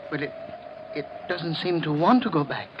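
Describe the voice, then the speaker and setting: A man's voice: a little high, animated, moderate pace, close to an everyday voice. Casting: male actor for timid, hesitant servant, obsequious and uneasy, muffled vintage radio recording with strong noise. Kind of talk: 1950s radio horror acting.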